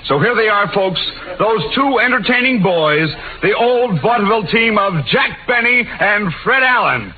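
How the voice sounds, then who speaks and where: A man's voice: sits high, lively intonation, moderate pace, hardly any pauses, music in the background. A man announcing acts in the style of a 1940s radio variety show. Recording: noisy, tinny 1940s radio broadcast.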